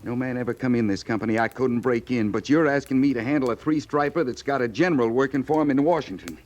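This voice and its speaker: An actor playing a gruff, blunt, resentful cavalry sergeant with folksy rustic grammar in an old-time radio western. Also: male voice, medium pitch, quick pace, a little mellow, lo-fi sound, natural voice. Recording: noisy vintage radio recording.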